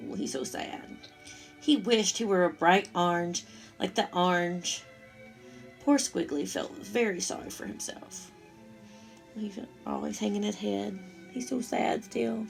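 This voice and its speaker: A woman reading a picture book aloud to young children, with expressive, simple asides as she goes. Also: mid-range voice, gravelly, poor audio. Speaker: storytime reader for young children.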